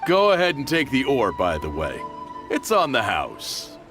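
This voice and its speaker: A man voicing a serious, kindly middle-aged father and merchant in a video game, speaking earnestly and gently. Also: mid-range voice, wildly swooping pitch, measured pace. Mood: warm, insistent. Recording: clear game music behind the voice.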